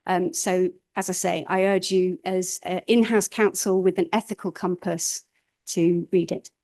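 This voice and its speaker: A woman chairing a panel, introducing the next speaker in a relaxed, slightly halting way. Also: lowish voice. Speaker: event chair.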